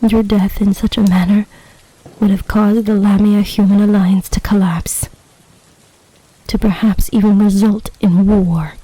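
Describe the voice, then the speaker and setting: A woman's voice: mid-range voice, fairly even intonation, a little slow, warm tone, husky, low-quality recording. A woman playing a regal, possessive, lovestruck lamia empress in a roleplay audio drama, speaking in formal, stately, dramatic phrases. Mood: grave, calculating.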